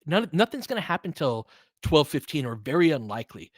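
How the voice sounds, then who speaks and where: A man's voice: fairly high pitch, very animated, brisk, slightly rough, no room sound, clean studio sound. A man doing casual live basketball play-by-play and analysis, conversational and openly rooting for his team with exclamations on big plays.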